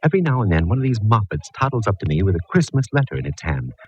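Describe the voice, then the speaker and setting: A man's voice: lowish voice, big pitch swings, racing. A man playing a theatrical, easily alarmed sidekick in an old radio detective show, with a florid, complaining manner. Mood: rattled, indignant. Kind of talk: 1940s-50s radio comedy-drama acting. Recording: muffled, noisy old radio recording.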